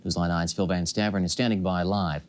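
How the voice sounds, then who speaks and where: A man's voice: low voice, fairly even intonation, a little fast. A young man anchoring a television newscast from a studio. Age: young adult. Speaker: news anchor. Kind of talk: newscast delivery.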